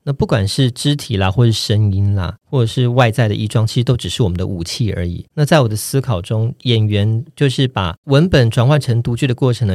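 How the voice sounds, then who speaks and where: A man's voice: on the low side, darkish tone, no room sound, clean studio sound. A man with a Taiwanese Mandarin accent, explaining his craft step by step as an articulate interview guest. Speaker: voice actor and voice director, podcast guest.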